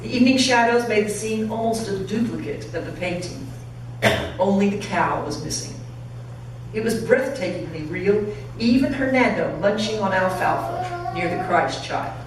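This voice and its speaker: A woman pastor reading a story aloud expressively and deliberately to listeners in a large church. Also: mid-range voice, expressive. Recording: very echoey church, distant mic, faint noise.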